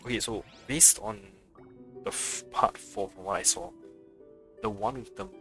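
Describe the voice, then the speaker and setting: A man's voice: lowish voice, sing-song, measured pace, bright, forceful tone, faint music behind. A man streaming a game, thinking aloud in offhand, casual commentary.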